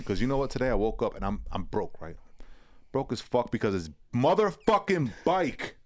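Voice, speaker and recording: A man's voice: lowish voice, no room sound. A young man co-hosting a podcast in casual, profane banter, laid-back and often trailing off. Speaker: podcast co-host.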